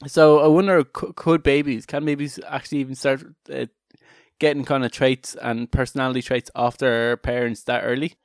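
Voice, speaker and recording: A man's voice: medium pitch, flat delivery, moderate pace, clear, resonant tone, no room sound, clean studio sound. A man co-hosting a casual comedic podcast, talking in a rambling, irreverent way with many restarted sentences. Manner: joking, irreverent. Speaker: podcast co-host.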